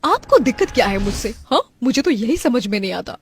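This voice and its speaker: A woman's voice: a little high, big pitch swings, a little fast, faint music behind. A woman voicing a vain, bratty young modern daughter-in-law in a cartoon family drama, haughty and emphatic. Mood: indignant.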